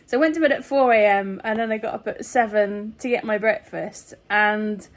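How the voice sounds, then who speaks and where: A woman's voice: mid-range voice, moderate pace. A woman vlogger talking in a casual, rambling, chatty and giggly way, like a personal video diary. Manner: chatty, meandering, often giggly.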